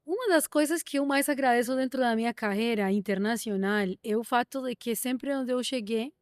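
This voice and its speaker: A woman hosting a podcast interview, asking measured, thoughtful questions with frequent restarts. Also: on the high side, dry recording, studio quality. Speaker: podcast host. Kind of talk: interview conversation.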